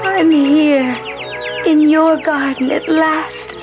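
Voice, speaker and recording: An actress playing a frail, dying young woman in a 1970s radio drama, halting, breathy and emotional. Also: sits high, fairly even intonation. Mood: faint, yearning. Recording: muffled old radio broadcast with music.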